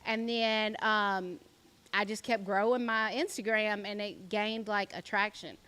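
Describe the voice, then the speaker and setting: A woman's voice: medium pitch, fairly even intonation, measured pace, pushed and bright. A woman from South Carolina with a Southern country accent, telling her story in a chatty interview style and filling her talk with "like". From South Carolina, USA. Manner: chatty storytelling, heavy use of "like". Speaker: guest, influencer. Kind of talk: casual interview chat.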